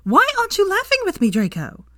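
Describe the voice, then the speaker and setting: A brisk, gleeful grown woman teacher, talking high and excitable with big swoops in pitch, as a woman reader puts her on. The sound is close and dry, with no room around it. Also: no echo. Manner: high, animated and emphatic.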